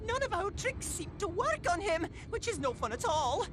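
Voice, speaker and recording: A very high, wildly swooping little pixie, childish and mischievous. She speaks with sing-song excitability, like a playful game fairy. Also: normal speed. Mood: pouting, worried. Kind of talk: video game cutscene acting.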